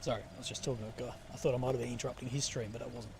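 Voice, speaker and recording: A man's voice: medium pitch, fairly even intonation, very fast. A man hosting a casual live stream, reading out chat questions and answering bluntly, with frequent fillers and the odd swear word. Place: outdoors in a conflict zone. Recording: noisy outdoor live stream.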